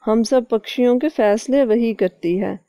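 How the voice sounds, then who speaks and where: A woman's voice: fairly high pitch, unhurried, warm tone, no echo, studio quality. A woman voicing a helpful, gullible male crow in a cartoon, with a trusting, conversational manner.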